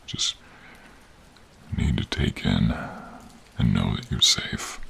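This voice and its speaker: A man's voice: expressive, slow, fairly bright tone, a little hiss or hum, exaggerated voice. A man voicing a protective, tender dragon in human form, speaking earnestly and with feeling in an audio role-play. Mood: tender, weary. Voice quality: deep, husky, breathy. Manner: earnest, emotional, caring.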